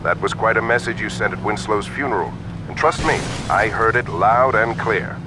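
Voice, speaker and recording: An actor playing a smooth-talking, oily politician. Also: male voice, fairly low pitch, normal speed, background noise, lightly acted. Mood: ingratiating. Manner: smooth, ingratiating. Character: oily male politician making a phone offer. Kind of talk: video game cutscene acting.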